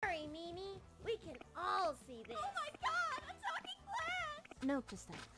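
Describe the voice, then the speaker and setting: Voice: very high voice, huge pitch swings, unhurried, loud music behind the voice, heavily acted. A performer voicing a sarcastic, exasperated young character in a parody cartoon dub. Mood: amazed, snarky. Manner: snarky, exclaiming.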